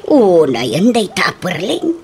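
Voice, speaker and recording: A woman's voice: on the low side, wildly swooping pitch, measured pace, a little mellow, a little hiss or hum. A woman voicing a sardonic, complaining older female farm hand in a comedy radio drama.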